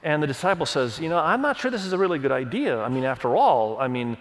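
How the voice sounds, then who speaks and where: A man's voice: a little high, sing-song. A middle-aged man who grew up in Indonesia, with Indonesian as his first language, preaching a sermon that mixes personal stories with scripture reading in an earnest storytelling manner. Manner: earnest storytelling, leans on verses, quick restarts. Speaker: pastor. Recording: long hall reverb.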